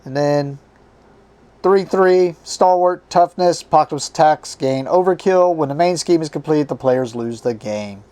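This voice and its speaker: A man hosting a casual card game unboxing, his voice strained and tiring as he reads card names aloud in short halting bursts. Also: on the high side, mellow. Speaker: board game channel host.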